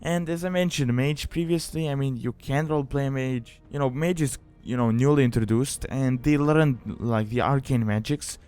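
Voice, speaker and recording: Young male guide host, clean smooth voice at medium pitch, loose conversational explainer delivery over music. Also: normal speed, hardly any pauses, a little mellow. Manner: informal, rambling, conversational. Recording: music behind the voice. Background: background music.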